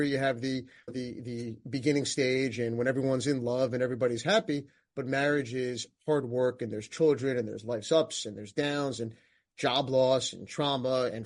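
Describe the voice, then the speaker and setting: A man hosting a podcast and asking a guest questions, talking energetically with quick bursts. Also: medium pitch. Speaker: podcast host. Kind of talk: interview questions.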